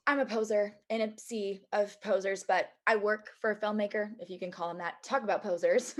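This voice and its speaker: A woman's voice: medium pitch, steady tone, fairly quick, close to an everyday voice. A woman playing a sarcastic, streetwise assistant in her mid-twenties, reading a screenplay with dry delivery. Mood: dry, self-deprecating. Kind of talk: screenplay table read acting.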